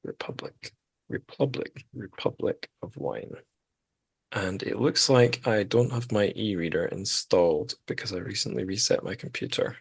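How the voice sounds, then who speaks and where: A man's voice: lowish voice, moderate pace, vocal fry, breathy edge. A man hosting a podcast, talking casually and thoughtfully about literature in tentative phrases. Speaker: podcast host.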